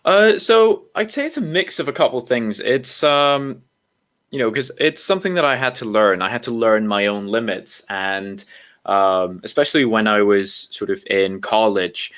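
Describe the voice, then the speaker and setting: Relaxed low-voiced man from Iceland. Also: normal speed. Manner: relaxed, rambling, many ums and self-corrections, laughs at himself. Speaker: guest. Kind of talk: casual interview talk.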